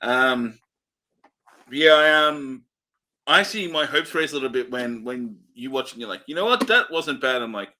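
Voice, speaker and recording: A man's voice: mid-range voice, lively intonation, moderate pace, pushed and bright. A man co-hosting a film review show in casual chat, with an understated, dry way of putting things.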